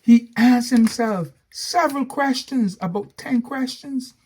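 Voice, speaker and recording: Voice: medium pitch, unhurried, a little mellow, husky. A storyteller, telling a cautionary tale with emphatic repetition and asides to the listener. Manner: emphatic, repeats key phrases, asides to listener.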